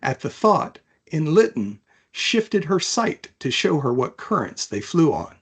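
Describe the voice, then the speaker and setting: A man, an author, talking about and reading from his own fantasy novel in a thoughtful, measured manner. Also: a little high, sing-song, breathy edge. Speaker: author, interview guest.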